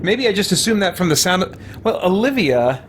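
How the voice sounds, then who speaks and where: A middle-aged man giving casual live let's-play commentary, musing and thinking aloud in halting asides. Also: high-pitched, very animated, background noise. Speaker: game streamer. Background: game music.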